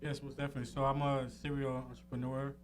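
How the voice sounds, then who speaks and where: A man's voice: medium pitch, level tone, quick pace. A man in his thirties speaking informally as an interview guest, explaining what he offers with frequent "you know" and "um". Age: 30s. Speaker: interview guest, entrepreneur and financial educator. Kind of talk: casual talk, explaining his services. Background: plucked guitar music.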